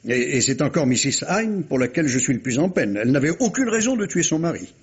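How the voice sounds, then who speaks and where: A man's voice: on the high side, very animated, fast talker, husky, heavily acted. A man playing a patient, methodical police inspector in 1950s French radio mystery style, probing and sometimes hesitant. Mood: cautious, explanatory. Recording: muffled vintage radio drama recording.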